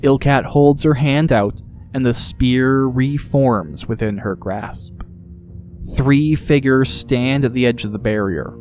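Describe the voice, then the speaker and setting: A man's voice: medium pitch, slow, a little mellow. A man reading scripted fantasy narration as an audiobook narrator.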